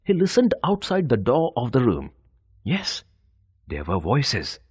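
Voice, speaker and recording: A man reading a scripted children's bedtime story as an expressive, deliberate storyteller. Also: medium pitch, husky, dry recording, clean studio sound.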